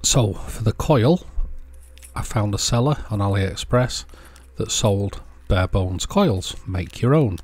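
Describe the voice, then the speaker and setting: A man with a fairly low voice narrates a practical tutorial. He is plain-spoken and understated, explaining each step casually as a channel presenter would. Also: expressive, slow, a little mellow, dry recording. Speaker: presenter of an electronics repair channel.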